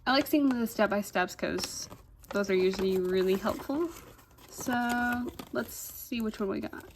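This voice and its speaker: A woman's voice: medium pitch, measured pace, slight background noise. A young woman vlogging a toy unboxing in a casual, chatty way, full of "like" and "um", sounding a little congested. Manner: chatty, fillers "like" and "um", easily delighted. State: slightly congested.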